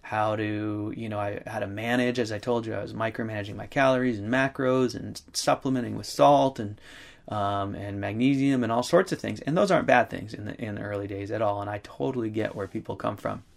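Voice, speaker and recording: A man speaking in a casual, halting way, rambling with many fillers and restarts. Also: on the low side, steady tone, gravelly. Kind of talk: casual conversational talk.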